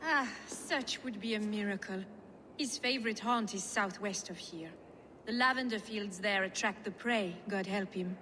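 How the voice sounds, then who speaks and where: A woman's voice: mid-range voice, moderate pace, bright, forceful tone, close to an everyday voice. Actress as haughty noblewoman in an echoing hall. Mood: sardonic. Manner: haughty, sardonic, theatrical. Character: Estrid, proud, fiery Frankish noblewoman, lord's sardonic wife. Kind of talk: video game cutscene acting. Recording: long hall echo, background noise.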